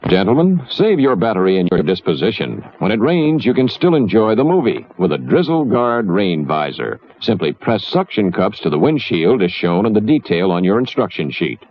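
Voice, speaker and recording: A man's voice: on the low side, very animated, normal speed, few pauses. A man narrating a vintage instructional drive-in advertisement. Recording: dull, slightly noisy old promo.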